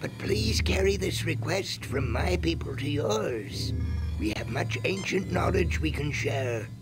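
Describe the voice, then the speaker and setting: Casting: a reverent, courteous alien envoy in a game, ceremonious, on the high side. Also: male voice, expressive, a little slow. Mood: grateful, reverent. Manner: solemn, formal, ceremonious. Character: devout, grateful male alien elder, courteous and peaceable. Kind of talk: video game voice acting. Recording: game audio under music.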